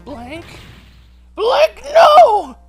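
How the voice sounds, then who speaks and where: Woman acting a high, excitable brash lady detective. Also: very slow, soft background music, heavily acted. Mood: urgent. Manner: emphatic, defensive, excitable. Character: brash, headstrong young female detective, highly strung.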